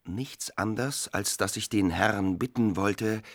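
A man's voice: fairly low pitch, slow, no room sound, studio quality. A man acting a proud, hot-tempered army lieutenant in a radio drama, speaking in formal, archaic, pressing phrases. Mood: insistent, courteous.

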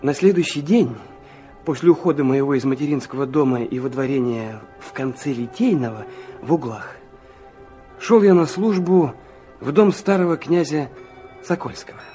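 An actor playing a proud, excitable young man who recalls his youth in an introspective, impassioned way, in a Russian radio play. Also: medium pitch, moderate pace, soft background music, close to an everyday voice. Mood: self-satisfied recollection. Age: young adult. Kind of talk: Russian radio play, first-person narration and dialogue.

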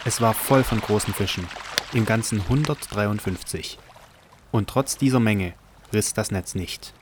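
Low-voiced male narrator. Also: unhurried, noisy background. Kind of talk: scripted audio drama narration.

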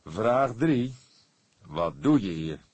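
A man voicing a grumpy, weary older police detective with a gruff, stern manner, in an audiobook. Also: fairly low pitch, sing-song, very slow, darkish tone, no room sound, pitched above the performer's own voice, exaggerated voice. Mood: sharp, stern. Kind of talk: audiobook character dialogue.

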